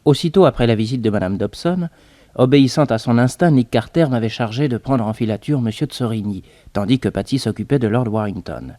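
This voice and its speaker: A man's voice: medium pitch, a little fast, dark tone, dry recording, lightly acted. A man playing a detective's loyal assistant who narrates a 1960s French radio serial. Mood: matter-of-fact. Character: detective's loyal male assistant, recounting the case briskly.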